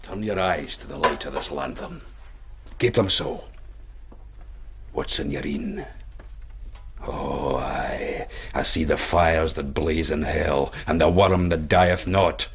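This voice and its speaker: An actor playing a bold, shrewd, commanding fugitive soldier with a Scottish accent and a theatrical streak, in period radio drama. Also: male voice, very deep voice, slow pace, long pauses, breathy edge, full character voice. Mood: fierce, incantatory.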